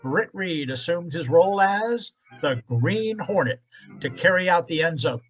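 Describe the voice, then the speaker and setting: A man's voice: a little high, lively intonation, measured pace, rough recording. A man delivering scripted narration in the style of an old-time radio drama.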